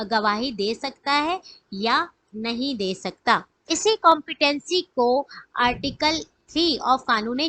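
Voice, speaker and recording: High-pitched Pakistani woman lecturing. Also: pushed and bright, no room sound. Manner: poses questions and answers them herself. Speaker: law lecturer. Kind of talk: explanatory lecture with everyday examples.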